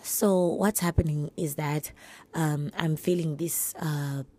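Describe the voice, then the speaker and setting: A woman with a hoarse, husky voice from a flu, giving earnest, encouraging faith-based advice straight to her listeners. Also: sits low, steady tone, slow pace, mellow. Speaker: podcast host.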